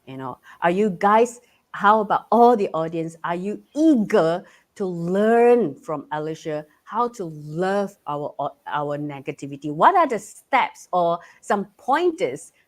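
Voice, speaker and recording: A woman's voice: lowish voice, animated, a little slow. Earnest Malaysian woman hosting a live talk. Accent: Malaysian English. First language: Cantonese. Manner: earnest, encouraging, conversational. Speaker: host. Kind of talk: live online talk show.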